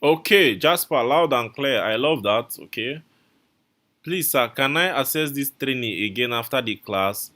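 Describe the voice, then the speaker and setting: A man's voice: medium pitch, lively intonation, measured pace, bright, forceful tone. A man teaching a live online coding class, repeating his instructions and calling out viewers' names from the chat. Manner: repetitive, coaxing, calls out viewer names.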